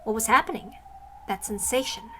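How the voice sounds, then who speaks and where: High-pitched woman narrating a dramatic story. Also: a little fast, bright, forceful tone, a little hiss or hum. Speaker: narrator reading a visual novel aloud.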